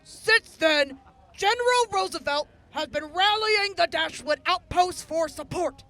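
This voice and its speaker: Woman playing a bright, high-voiced friendly soldier. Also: lively intonation, a little slow, full character voice. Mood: matter-of-fact briefing. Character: friendly female soldier briefing old friends after long absence. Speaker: voice actor. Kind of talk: post-apocalyptic audio drama acting.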